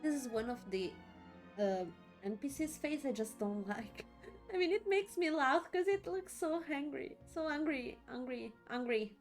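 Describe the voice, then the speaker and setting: A woman's voice: high-pitched, expressive, normal speed, faint music behind. A woman giving casual let's play commentary as a streamer, informal, giggly and reactive in her manner. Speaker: streamer playing a game.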